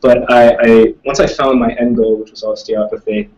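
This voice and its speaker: A man's voice: mid-range voice, little pitch movement, normal speed, darkish tone, distant mic, dull audio. A young man, a student, answering audience questions in casual talk, thoughtful and rambling, with many restarts. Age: young adult. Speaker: student speaker.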